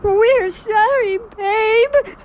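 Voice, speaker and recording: A woman's voice: very high-pitched, very slow, a little mellow, heavily acted. A woman voicing a small, earnest, childlike young boy squirrel in a children's radio drama. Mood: sorry, earnest.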